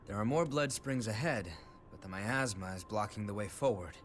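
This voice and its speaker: An actor playing a composed, principled young male leader in a video game cutscene, calm, earnest and firm. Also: fairly low pitch, normal speed, slight background noise, natural voice. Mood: earnest, polite.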